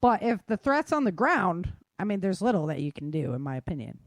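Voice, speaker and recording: A woman's voice: fairly low pitch, big pitch swings, brisk, no echo, clean studio sound. A woman with an American accent hosting a casual podcast discussion, explaining her points and often checking in with "right?" and "you know". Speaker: podcast host and author.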